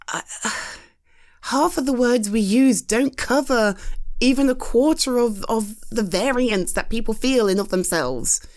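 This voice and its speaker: British woman, unhurried, animated pitch; frank, halting, comforting friend, close-mic roleplay. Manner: halting and conversational, stumbling restarts, frank and reassuring. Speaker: audio roleplay performer. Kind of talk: scripted POV roleplay, reassuring friend.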